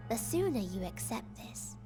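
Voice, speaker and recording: A woman playing a sorrowful, nihilistic young otherworldly being in a video game, speaking in solemn, measured, fatalistic lines. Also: a little high, sing-song. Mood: cold, solemn. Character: sorrowful, nihilistic young female hive-mind being from the stars. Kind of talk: video game cutscene acting. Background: music.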